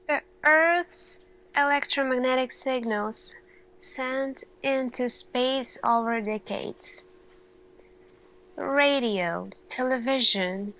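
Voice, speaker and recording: A woman playing an earnest, thoughtful lead scientist in an ASMR roleplay, speaking in a hushed, deliberate way with pauses and searching questions. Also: sits high, heavily acted, poor audio.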